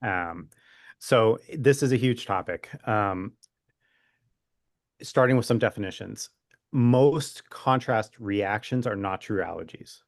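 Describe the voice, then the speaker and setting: Low-voiced man explaining things, dry close recording. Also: takes it slowly, vocal fry, no room sound. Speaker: physician guest expert. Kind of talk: conversational expert explanation in an interview.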